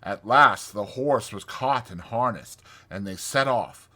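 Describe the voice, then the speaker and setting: A man's voice: on the low side, measured pace, sharp tone. A man narrating a classic storybook as a dramatized, scripted audiobook reading.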